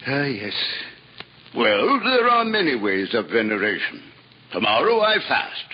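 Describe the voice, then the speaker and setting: A dry-witted, scholarly monk in a 1950s radio play. His husky voice moves with lively pitch. The old broadcast sounds dull, with a long reverb trailing after him. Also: unhurried, full character voice. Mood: wry. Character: witty learned male monk, Father Elias. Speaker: priest. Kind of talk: 1950s radio drama acting.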